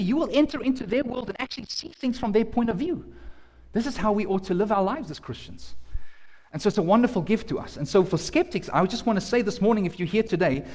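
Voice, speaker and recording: A man preaching a sermon as pastor, earnest, often restarting and repeating words. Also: sits high. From South Africa. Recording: long hall reverb.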